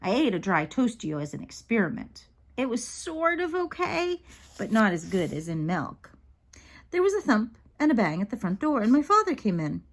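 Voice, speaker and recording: A woman reading a children's book aloud in an expressive storytelling style, acting out the dialogue as she goes. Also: mid-range voice, a little fast.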